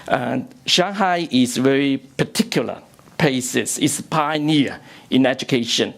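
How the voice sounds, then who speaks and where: Man from Hong Kong, native Cantonese speaker, halting English, interpreting, mid pitch. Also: vocal fry. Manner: halting non-native English with frequent fillers. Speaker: interpreter and academic. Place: lecture room.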